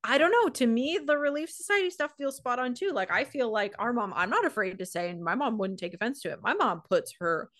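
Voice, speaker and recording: A woman's voice: fairly high pitch, expressive, brisk, hardly any pauses, a bit bright, no room sound, studio quality. An American woman co-hosting a casual podcast, telling anecdotes and acting out quotes as she goes. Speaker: podcast co-host.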